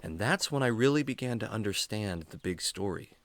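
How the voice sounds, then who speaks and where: A man playing a kindly, patient adventurer who explains things gently and earnestly to his animal buddy in a children's radio drama. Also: on the low side, expressive, brisk, a little hiss or hum, lightly acted. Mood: wistful.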